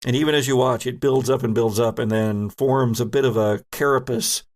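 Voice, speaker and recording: A man narrating a tabletop horror game as game master, descriptive and deliberate, pausing between phrases. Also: medium pitch, fairly even intonation, darkish tone.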